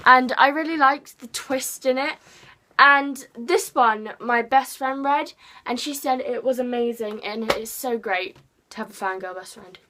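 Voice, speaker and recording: Brief: English woman, book vlogger, bright high voice, rambly and self-mocking. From England. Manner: chatty, rambling, self-deprecating, playful. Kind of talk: casual chat to camera.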